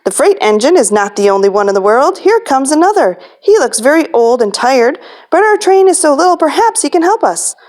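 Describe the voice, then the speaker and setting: A woman's voice: high-pitched, very animated, a little fast, darkish tone, pitched above the performer's own voice. A woman voicing a hopeful little toy clown in a children's storybook, eagerly calling out encouragement. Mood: hopeful, encouraging.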